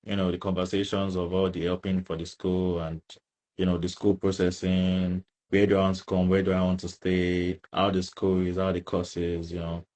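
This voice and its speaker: A young man speaks in a low voice with a Nigerian accent. He sounds like a student giving casual interview answers, informal in his phrasing, and he often checks in with small tag questions. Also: monotone, moderate pace, a little mellow. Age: young adult. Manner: informal, frequent tag questions like "right?" and "you get".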